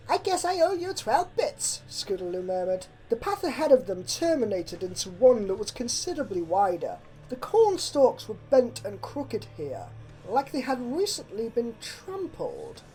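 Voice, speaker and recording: A woman's voice: mid-range voice, very animated, moderate pace, dark tone, soft background music, rough recording. A woman reading a scripted horror story aloud as narrator. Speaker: fan fiction narrator.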